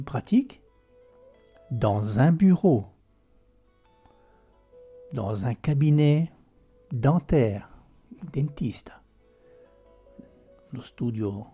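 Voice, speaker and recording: A man's voice: mid-range voice, warm tone, slightly rough, lo-fi sound. A man teaching a grammar lesson, patiently repeating examples and checking in with "ok?" as he goes. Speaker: French teacher.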